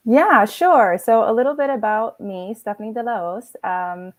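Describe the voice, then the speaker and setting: A woman's voice: a little high, normal speed, no room sound. A woman in her thirties, a nonprofit program director, telling her story in a friendly, upbeat way with frequent ums. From Philippines, raised in Florida. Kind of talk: casual video-call interview.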